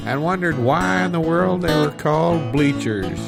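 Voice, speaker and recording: A man's voice: on the high side, expressive, vocal fry. A man playing a wistful, self-important reminiscer, delivering a dramatic improvised comic monologue. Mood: mock nostalgia. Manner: slow, dramatic recitation. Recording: guitar music playing behind.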